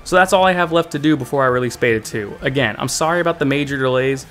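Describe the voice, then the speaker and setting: A man's voice: mid-range voice, fast, a bit bright. A man hosting a scripted video update as a game developer, speaking in an upbeat, informal way over music.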